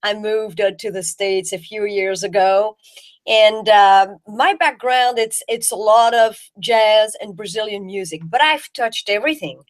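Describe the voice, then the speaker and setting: A woman's voice: mid-range voice, a little slow. A woman from Belgium, a jazz singer and guest, chatting with enthusiasm and often restarting her words. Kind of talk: casual chat.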